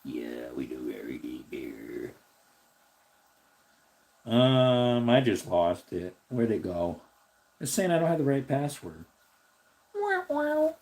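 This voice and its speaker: Slightly raspy man chatting on a live stream. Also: lowish voice, wildly swooping pitch, takes it slowly, pauses often, a little mellow. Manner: rambling, thinks aloud while looking things up. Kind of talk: casual live stream chat, reading viewer comments.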